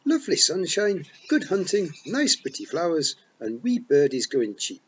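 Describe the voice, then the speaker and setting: A man voicing a boisterous tiny male pixie chief with a rough brogue, reading an audiobook. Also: on the high side, huge pitch swings, normal speed, highs cut off, slight background noise, pitched above the performer's own voice. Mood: proud. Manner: loud, rough, gleeful brogue.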